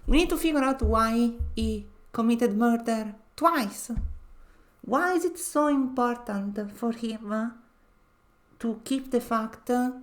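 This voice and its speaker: A woman's voice: a little high, takes it slowly. A woman with a nasal voice chatting casually as she streams a game, often laughing between phrases. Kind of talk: casual let's-play commentary.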